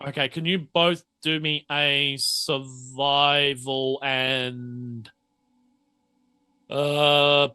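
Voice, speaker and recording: A man's voice: mid-range voice, flat delivery, extremely slow, bright, forceful tone, clean tone. A man speaking casually as game master, improvising narration in a hesitant, self-correcting way.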